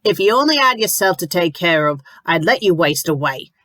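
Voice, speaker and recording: A woman voicing a brisk, bossy middle-aged landlady who talks firmly and sternly. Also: on the low side, lively intonation, a bit bright, no room sound.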